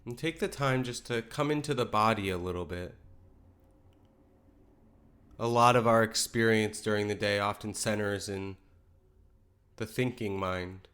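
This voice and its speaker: A man leading a guided meditation in a calm, gentle, unhurried way, letting his phrases settle softly. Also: lowish voice. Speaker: meditation teacher.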